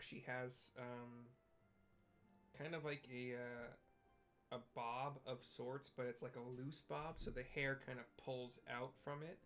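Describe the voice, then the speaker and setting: A game streamer casually describing what is on screen, hesitant and searching for words in halting fragments. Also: mid-range voice, a bit bright. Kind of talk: casual, improvised visual description of a game for listeners.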